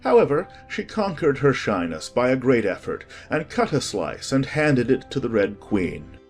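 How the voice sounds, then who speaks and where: An audiobook narrator reading a children's story in a measured, expressive scripted style. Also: mid-range voice, soft background music.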